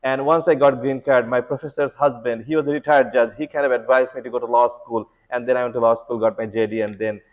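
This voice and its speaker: A man's voice: medium pitch, fairly even intonation, fast, hardly any pauses, highs cut off. A middle-aged man from Bangladesh, an immigration lawyer giving earnest advice to students, restarting often and leaning on fillers.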